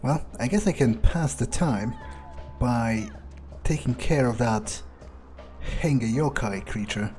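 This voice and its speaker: A man role-plays a menacing male vampire in a game playthrough. His medium-pitched speech is cocky, sneering and sweary, like thoughts spoken aloud. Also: slow, a little mellow, faint music behind, close to an everyday voice. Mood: cool menace. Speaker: let's player. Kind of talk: in-character game playthrough narration.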